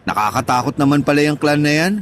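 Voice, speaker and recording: Man playing cheeky young Filipino guy, higher voice. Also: quick pace, a little hiss or hum, natural voice. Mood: eager. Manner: playful, colloquial, teasing. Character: cheeky lovelorn 22-year-old guy, eager younger friend. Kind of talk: Tagalog radio drama dialogue.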